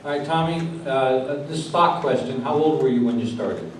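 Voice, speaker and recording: A man's voice: medium pitch, moderate pace, over a noisy crowd. A man acting as interviewer, introducing and questioning a guest at a live stage event. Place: live event venue with audience. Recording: echoey room, distant mic, clear background noise.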